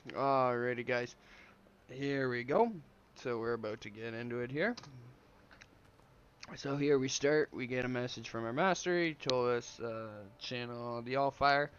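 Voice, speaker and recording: A man with a slight cold giving relaxed, rambling gameplay commentary over a strategy game, full of ums and uhs. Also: mid-range voice, measured pace, slight background noise. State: has a slight cold, sniffling. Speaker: gameplay video creator. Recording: dull lo-fi audio.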